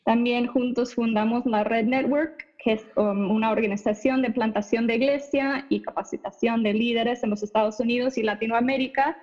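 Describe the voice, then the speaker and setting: A woman hosts a casual podcast panel and introduces each guest in turn. She laughs easily, and her voice sits fairly high. The audio is dull and lo-fi. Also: steady tone, moderate pace, few pauses, darkish tone. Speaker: podcast host. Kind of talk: casual conversational interview.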